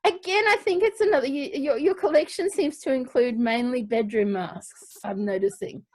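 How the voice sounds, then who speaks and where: An Australian woman hosting a live online show in a casual, halting, playful and chatty way. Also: high-pitched, a little mellow.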